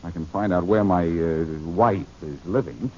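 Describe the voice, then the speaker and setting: An actor playing a wisecracking, quick-thinking American amateur detective in 1940s radio style. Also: male voice, deep voice, warm tone, a little hiss or hum. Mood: earnest, insistent. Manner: sardonic, smooth-talking. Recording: muffled old radio broadcast.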